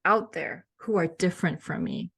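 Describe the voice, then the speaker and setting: A woman's voice: fairly low pitch, wildly swooping pitch, moderate pace, creaky, a bit bright, husky. Earnest woman in thoughtful conversation. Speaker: guest, author and cultural intelligence coach. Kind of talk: thoughtful conversational interview answers.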